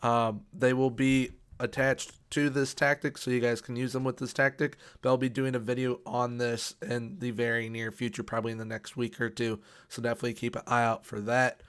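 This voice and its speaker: Flat-toned young man, voice worn down. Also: medium pitch, a little fast, hardly any pauses, clean tone. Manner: upbeat, repeats words for emphasis. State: losing his voice. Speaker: video game tactics youtuber. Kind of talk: casual game commentary over gameplay.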